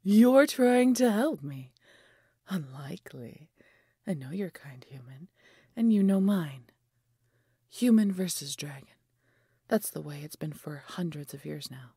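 Woman voicing a husky, low, proud dragoness. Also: animated, warm tone, dry recording, clean studio sound, exaggerated voice. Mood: scornful, suspicious. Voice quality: breathy, husky. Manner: imperious, theatrical, deliberate phrasing. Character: proud, mighty wounded female dragon, haughty and grudging. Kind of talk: fantasy audio roleplay acting.

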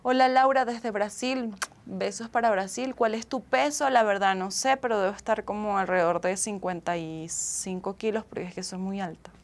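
A woman's voice: medium pitch, steady tone, normal speed. A young woman with a Venezuelan accent chats casually and expressively as she hosts a live stream answering viewer comments. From Caracas, Venezuela. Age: young adult. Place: small indoor room with couch and acoustic foam.

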